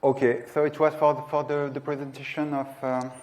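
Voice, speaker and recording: A man's voice: mid-range voice, flat delivery, fairly quick, darkish tone, a little hiss or hum, poor audio. A man in his thirties giving a technical presentation in a lecture room, speaking hesitantly and searching for words. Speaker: conference presenter.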